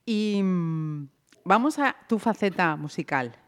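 A woman interviewer asking a musician about his career in a friendly, measured way. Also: on the low side, expressive. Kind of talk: radio-style interview.

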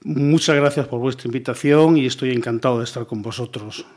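A man's voice: mid-range voice, fairly quick, darkish tone. A middle-aged man from Galicia, talking expansively as a guest in a casual radio interview, often tagging his phrases with "eh?" and repeated yeses. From Galicia, Spain. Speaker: guest, police commissioner.

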